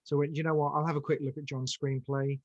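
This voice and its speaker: A man's voice: fairly high pitch, flat delivery, quick pace, no echo, muffled recording. A man telling a casual, conversational anecdote as an interview guest. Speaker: interview guest, audio drama team member.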